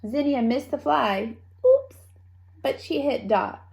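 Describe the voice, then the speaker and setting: A woman reading a children's story aloud in an expressive manner, with playful asides to young listeners. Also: high voice, slow, gravelly. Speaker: storybook reader.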